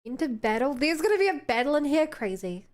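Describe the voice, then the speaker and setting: A woman's voice: high-pitched, big pitch swings, brisk. A woman with a New Zealand accent chatting casually as she streams a game. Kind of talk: casual livestream chat over a game.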